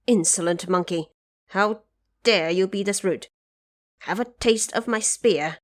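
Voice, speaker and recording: A woman's voice: medium pitch, unhurried, natural voice. Woman playing a haughty young warrior god. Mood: outraged. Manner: haughty, commanding. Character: proud, indignant young male warrior god. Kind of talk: audio drama character acting.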